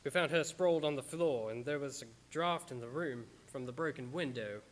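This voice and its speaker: A man's voice: mid-range voice, normal speed, natural voice. An actor playing an anguished, devoted doctor who narrates from his journals in an old-time radio drama style. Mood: grave, narrating. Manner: stammering when distressed, dramatic.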